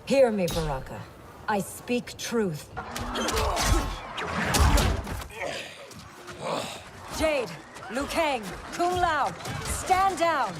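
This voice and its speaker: Commanding warrior princess, young adult, earnest, resolute, lively pitch, music behind, fighting game. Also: female voice, slow pace. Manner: earnest, authoritative. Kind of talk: cinematic fighting game acting.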